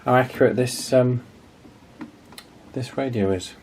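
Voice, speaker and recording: A man's voice: medium pitch, a little slow, very noisy. A man in his forties narrating a hands-on repair casually, hesitant and thinking aloud as he goes. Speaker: video host, radio restoration hobbyist.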